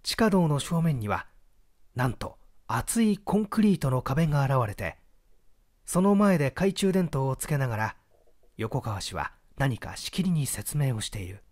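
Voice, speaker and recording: A man's voice: medium pitch, very animated, normal speed, darkish tone, no echo, clean studio sound. A man narrating a mystery audiobook in a dramatic, theatrical storytelling manner.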